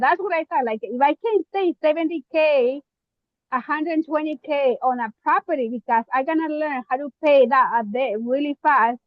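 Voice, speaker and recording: A woman from Mexico with a Mexican Spanish accent, a real estate investor talking casually on a video call, often pausing and searching for words. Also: high voice, no room sound, lo-fi sound. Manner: halting, searching for words, many fillers. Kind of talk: casual online meeting chat.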